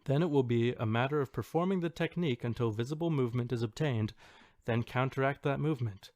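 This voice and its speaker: A man acts out an earnest forum poster who is sure he has a secret power. He states his claims in a matter-of-fact, insistent way at a middling male pitch. The recording is close and dry, like a podcast reading. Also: fast talker, a little mellow, no echo, natural voice. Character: self-assured forum poster boasting of telekinetic powers. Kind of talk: dramatic reading of an online post.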